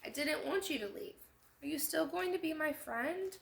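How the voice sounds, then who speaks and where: A woman's voice: sits high, lively intonation, moderate pace, creaky, slight background noise, pitched above the performer's own voice. A woman voicing an emotional young girl in a children's storybook, with dramatic, exclamatory delivery. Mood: sad, pleading. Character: emotional, chatty young girl storybook narrator losing her friend. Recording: echoey room sound.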